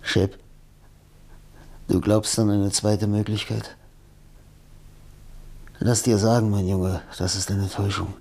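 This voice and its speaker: An actor in a radio play as a dying, feverish, broken writer, husky and gravelly, speaking in halting fragments. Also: male voice, fairly low pitch, little pitch movement, darkish tone, full character voice. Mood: weary, bitter. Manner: halting, murmuring, fragmented speech.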